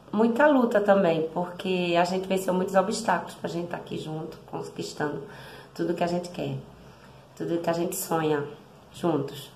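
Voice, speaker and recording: A woman's voice: on the low side, a little mellow. Woman, heartfelt. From Brazil, Recife. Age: 50s. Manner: heartfelt, chatty, self-correcting. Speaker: motorcycle travel vlogger. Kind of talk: personal story told to camera. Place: small indoor room at home. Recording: echoey room.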